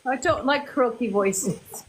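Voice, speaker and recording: A woman's voice: fairly high pitch, takes it slowly. A woman hosting a casual live stream, greeting viewers by name and chatting with her guests. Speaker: host.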